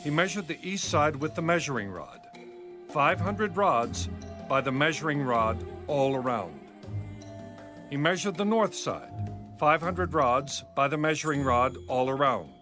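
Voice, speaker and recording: A man's voice: a little high, animated, a little slow, over music. A man narrating scripture in a scripted, dramatic audio Bible style.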